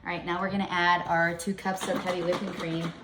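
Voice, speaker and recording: A woman's voice: fairly low pitch, little pitch movement, brisk, roomy sound, heavy background noise. A woman in her fifties giving a chatty, step-by-step home cooking demonstration in her kitchen. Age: 50s.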